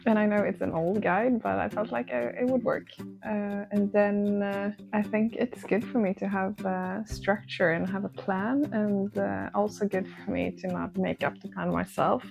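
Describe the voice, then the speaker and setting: A woman's voice: mid-range voice, gravelly. A young woman with a Swedish accent, vlogging about her art, hesitant, with many fillers. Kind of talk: casual vlog talk to camera. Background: soft guitar music.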